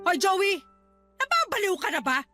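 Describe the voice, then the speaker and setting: An actress playing a sharp-tongued, money-minded middle-aged wife and mother, scolding bluntly in a radio drama. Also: extremely high pitch, very animated, moderate pace, soft background music, heavily acted. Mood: indignant, demanding. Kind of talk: Tagalog radio drama acting.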